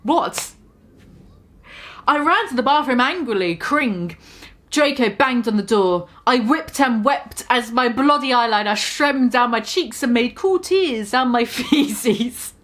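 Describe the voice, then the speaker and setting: A woman's voice: on the high side, animated, slow, fairly bright tone. A woman giving a mock-dramatic reading of a fan story, amused and full of sarcastic asides. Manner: amused, keeps breaking into laughter, sarcastic asides.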